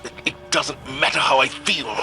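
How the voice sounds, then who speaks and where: A man's voice: medium pitch, normal speed, vocal fry, pushed and bright. A man playing a stoic military commander haunted by his lost family in a video game, weighty, guarded and grieving. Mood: anguished. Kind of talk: dramatic video game acting.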